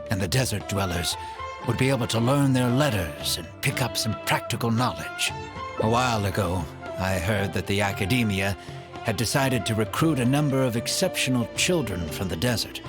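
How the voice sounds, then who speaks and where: A man voicing an elderly, earnest desert dweller in a video game, speaking in a measured, gentle way over loud game music. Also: mid-range voice, close to an everyday voice. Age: older.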